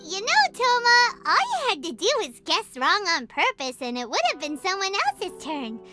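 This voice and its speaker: A woman voicing a tiny, childlike female fairy companion in a video game, cheeky, blunt and food-loving, with a squeaky voice. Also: moderate pace. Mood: cheeky, grossed out. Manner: excitable, blunt, third-person chatter. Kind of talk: animated video game voice acting. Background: background music.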